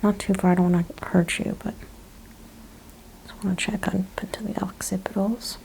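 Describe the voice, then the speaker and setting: A woman speaking softly, often in a breathy whisper, gently and carefully explaining each step as a chiropractor in an ASMR exam roleplay. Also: mid-range voice, level tone, moderate pace, rough recording. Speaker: ASMR host playing a chiropractor examining a patient.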